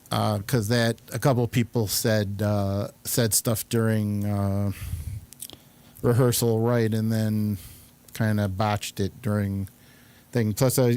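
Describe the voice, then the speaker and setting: A man hosting a casual interview, speaking in a halting, hesitant way with many pauses. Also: on the low side, dark tone, clear, resonant tone. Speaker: show host and interviewer.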